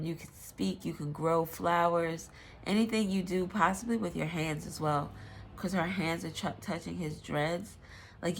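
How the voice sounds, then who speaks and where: Woman tarot reader, stuffy nose, slightly low voice, loose rambling delivery with some cursing, faint background noise. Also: creaky. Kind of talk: casual tarot reading.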